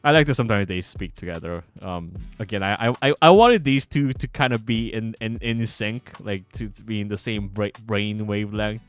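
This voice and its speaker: A man giving casual livestream commentary as a game developer, halting and repeating himself excitably. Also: lowish voice, clear, resonant tone, no room sound. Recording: music behind the voice.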